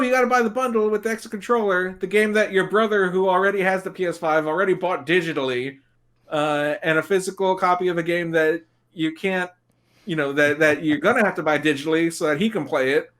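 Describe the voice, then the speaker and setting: Man, fairly high voice, animated podcast guest, casual and jokey, rambling asides, mimics imagined quotes. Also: fairly quick. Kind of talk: casual chat.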